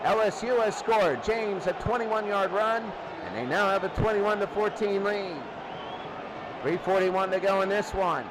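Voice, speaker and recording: A man calling football play by play in short, clipped, excitable phrases. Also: sits high, a little slow. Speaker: play-by-play sports commentator. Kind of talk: live American football commentary. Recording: noisy crowd bed behind commentary.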